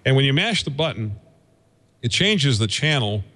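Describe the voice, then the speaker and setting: A man giving an informal technical explanation as a guest engineer, in a halting, folksy way, thinking aloud as he goes. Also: mid-range voice, big pitch swings, pushed and bright. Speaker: guest, radio station engineer.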